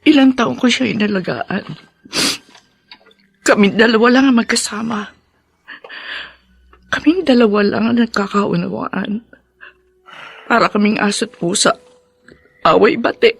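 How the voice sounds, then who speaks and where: An actress playing a sorrowful, bereaved daughter in a Filipino melodrama, tearful and pleading. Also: female voice, a little high, a little slow, gravelly, pauses often, breathy edge, no room sound, heavily acted. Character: grieving daughter who cared for her sick mother. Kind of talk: Filipino radio-style melodrama acting.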